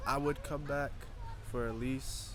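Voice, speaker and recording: A man's voice: mid-range voice, fairly even intonation, a little slow, a loud crowd. A man giving casual, slightly hesitant answers as an interviewee outdoors, thinking aloud as he talks. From born in Florida, of Jamaican background. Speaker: interviewee, English teacher. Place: outdoors at a snow festival.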